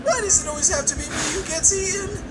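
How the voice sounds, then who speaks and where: Brief: naive whiny dragon, very high, hesitant, loud music behind. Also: male voice, quick pace. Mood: whimpering. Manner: whining, hesitant. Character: timid, childlike, whiny dragon head, naive and dim. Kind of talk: comedic cartoon comic dub.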